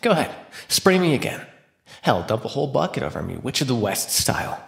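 In a roomy, echoing space, a man plays a captive male vampire in an ASMR roleplay. He is flippant and taunting, with plenty of gravelly fry in his voice. His pitch moves around freely as he needles his captors with defiant sarcasm. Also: very animated, a little fast. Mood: irritated, defiant. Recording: roomy echo.

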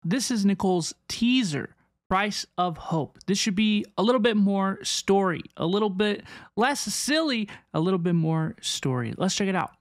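A woman's voice: mid-range voice, animated, normal speed, dry recording, clean studio sound. A woman giving casual, informal reaction commentary, hesitating and repeating herself as she goes. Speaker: streamer reacting to game trailers.

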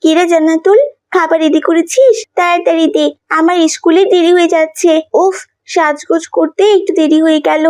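A woman's voice: extremely high pitch, fairly even intonation, moderate pace, a little mellow, no echo, studio quality. A woman voicing a spoiled, vain, rude schoolgirl sister in a cartoon, demanding and complaining with contempt. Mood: demanding, disgusted. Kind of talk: animated moral cartoon voice acting.

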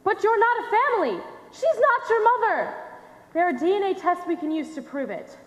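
A woman's voice: very high voice, animated, normal speed. A young woman playing an earnest, exasperated scientist in an amateur stage play, firm and explanatory in delivery. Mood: indignant. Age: young adult. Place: school theatre stage. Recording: echoey theatre hall.

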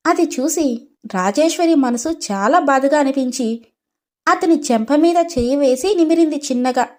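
A woman's voice: high voice, lively intonation, moderate pace, darkish tone. A woman storyteller reading a scripted story narration.

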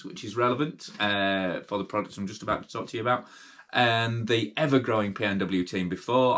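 A man's voice: on the low side, bright, forceful tone. A middle-aged British man hosting a casual cycling gear review late at night, chatty and rambling with lots of fillers and restarts, sounding tired. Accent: British English. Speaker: cycling channel host. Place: indoor workshop with brick wall and bikes.

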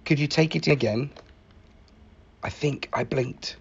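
A man reading a picture book aloud in an expressive, animated manner, acting out the exclamations. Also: medium pitch, a little slow, gravelly, breathy edge, muffled recording. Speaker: library staff story reader.

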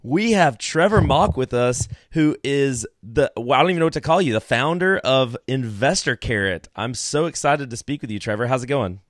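A man's voice: medium pitch, animated, moderate pace, few pauses, dry recording. Upbeat man hosting a podcast. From Alabama. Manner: upbeat, enthusiastic. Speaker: podcast host. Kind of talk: casual interview. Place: office with banner backdrop, indoors. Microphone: studio mic in front, earbuds, video call.

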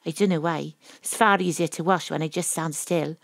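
A woman's voice: low-pitched, fairly even intonation, moderate pace, vocal fry, dry recording. A woman playing a wry, poetic private detective with a Welsh accent, narrating an audio drama in a theatrical, literary way. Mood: wry, reflective. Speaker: narrator and lead character. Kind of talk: first-person audio drama narration and acting.

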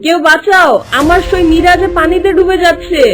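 Woman acting young village girl, extremely high voice, fretful, pleading, cartoon dub, clear music behind. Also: steady tone, brisk. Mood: panicked, pleading. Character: anxious young village girl, loyal, scolding friend. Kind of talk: Bengali fairy-tale cartoon dub.

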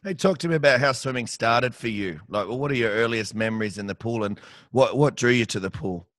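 A man's voice: mid-range voice, brisk, fairly bright tone, dry recording, clean studio sound. A man with an Australian accent hosting a swim podcast over a headset, chatty and matey. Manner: matey, chatty, calls guest mate. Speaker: podcast host and swim coach. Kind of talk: casual interview. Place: small room with hung jerseys.

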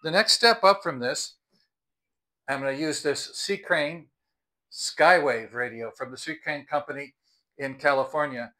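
A man's voice: on the high side, unhurried, bright, forceful tone, rough recording. An American man in his sixties explaining products to camera in a conversational, emphatic, unscripted way. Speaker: product review host. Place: outdoors on a house porch by the front door.

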